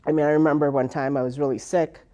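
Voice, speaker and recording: Rambling, mellow-voiced woman veteran. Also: low voice, little pitch movement, fast. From United States. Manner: rambling, with many fillers and restarts. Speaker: Air Force veteran interviewee. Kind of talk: personal oral history interview.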